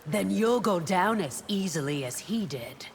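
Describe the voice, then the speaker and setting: A woman's voice: mid-range voice, animated, measured pace. An actress playing a loyal, solemn, steely royal guard warrior woman in a fantasy fighting game, formal and resolute. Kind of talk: video game fighter intro dialogue. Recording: echoing hall, clear background noise.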